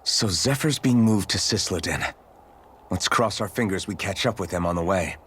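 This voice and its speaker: A man voicing an earnest, steady young swordsman hero in a video game. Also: low voice, normal speed, breathy edge, exaggerated voice. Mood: earnest, resolute.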